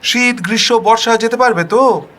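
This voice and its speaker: Man doing a high, teasing practical wife. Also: a bit bright, slight background noise. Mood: doubting, practical. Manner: brisk, teasing, questioning.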